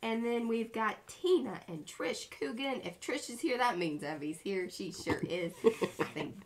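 Lively, chatty woman livestream host. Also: on the high side, measured pace. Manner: bubbly and chatty, with playful made-up words. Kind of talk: casual livestream chat, greeting viewers by name. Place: home art studio, indoors, small cluttered room.

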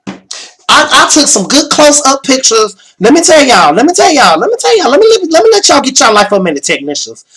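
A young man, slightly hoarse, excitedly recapping a concert in a casual bedroom vlog, swearing and repeating himself. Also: extremely high pitch, a little fast, slight background noise. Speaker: vlogger. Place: small bedroom.

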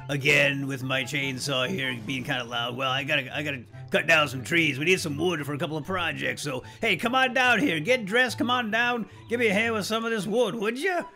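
Man, folksy and chatty gaming host, bright forward voice, casual play-by-play over music. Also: fairly high pitch, brisk, creaky, few pauses. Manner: folksy, chatty, talks directly to viewers. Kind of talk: casual gameplay commentary with light role-play.

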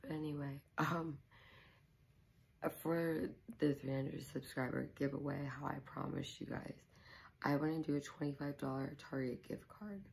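A young woman, hoarse, chatting casually to her camera at home and rambling with many ums and likes. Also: deep voice, little pitch movement, moderate pace, a little mellow, poor audio. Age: young adult. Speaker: YouTuber. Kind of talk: casual vlog chat to camera. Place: small living room at home, indoors.

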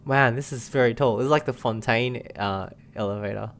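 A young man giving casual, relaxed playthrough commentary, musing aloud as he plays. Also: mid-range voice, brisk. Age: young adult. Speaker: game streamer. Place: small room indoors.

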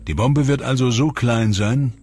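A man narrating a science documentary in a calm, measured, scripted delivery. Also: medium pitch. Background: music.

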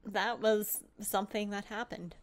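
A woman's voice: mid-range voice, flat delivery, unhurried. A woman playing a tabletop game, chatting casually and playfully in character. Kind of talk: casual playful roleplay chat.

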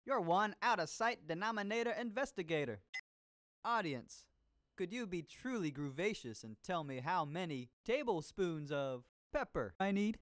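A man playing an upbeat, hammy disco cooking show host in a cartoon game, with a swinging, drawn-out delivery and seventies slang. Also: sits high, animated, fairly bright tone. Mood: groovy, upbeat.

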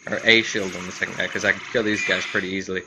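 A man's voice: sits low, flat delivery, very fast. A man giving casual let's play commentary, rambling and repeating himself as he thinks aloud, as host of a gaming channel. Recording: faint background noise.

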